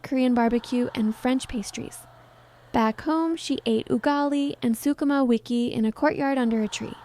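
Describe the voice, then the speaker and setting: Female narrator for scripted story drama: higher pitch, very mellow tone.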